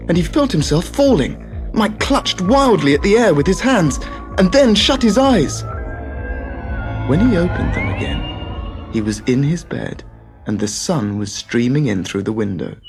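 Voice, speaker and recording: A storyteller reading a children's tale as dramatic scripted narration. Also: medium pitch.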